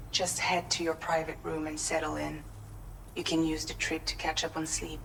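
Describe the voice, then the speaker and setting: Game cutscene: actress as caring, practical female crewmate, gentle, matter-of-fact, lowish voice over faint noise. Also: lightly acted, rough recording. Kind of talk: scripted video game cutscene dialogue.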